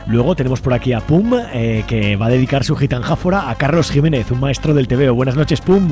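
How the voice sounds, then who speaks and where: A man's voice: medium pitch, a little fast, warm tone. A man Spain, presenting a live community radio show as its host a studio microphone. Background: music.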